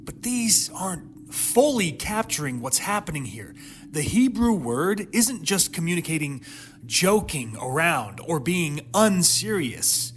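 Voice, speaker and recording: A man delivering a dramatic Bible teaching monologue, emphatic, with rhetorical questions and pauses for effect, over noticeable background noise. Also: fairly high pitch, sing-song, a bit bright. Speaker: host of a Bible teaching series.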